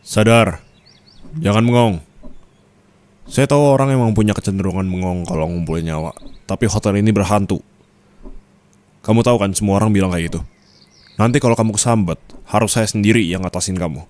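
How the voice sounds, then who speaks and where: A man's voice: on the low side, brisk, pauses often, darkish tone, natural voice. A man playing a strict, flirty and possessive male office boss in an intimate ASMR roleplay, commanding and teasing, with questioning tags.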